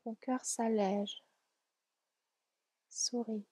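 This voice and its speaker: Slow, mellow woman guiding relaxation. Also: on the high side, steady tone, breathy edge, no room sound. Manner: soothing, gentle, unhurried delivery. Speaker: yoga nidra guide. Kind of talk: guided relaxation meditation.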